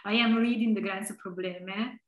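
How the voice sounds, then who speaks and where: A young woman from Italy, a philosophy scholar being interviewed, speaking thoughtfully with many filler pauses. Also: mid-range voice, fairly even intonation, echoey room, lo-fi sound, a crowd in the background. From Italy (Tuscany). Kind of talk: reflective conversational interview.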